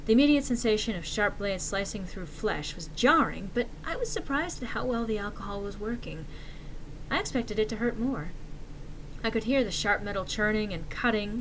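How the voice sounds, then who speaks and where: Woman dramatically narrating horror, noisy recording. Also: medium pitch. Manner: theatrical, with deliberate dramatic pauses. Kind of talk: dramatic horror story reading.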